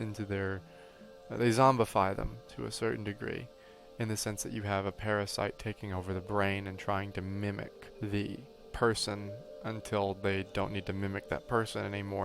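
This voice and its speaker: A young man with a low voice and heavy vocal fry talks through an analytical video essay. His wording is academic but loosened by casual asides and little check-ins with the listener, and music plays behind him. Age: young adult. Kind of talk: analytical video essay commentary, semi-conversational.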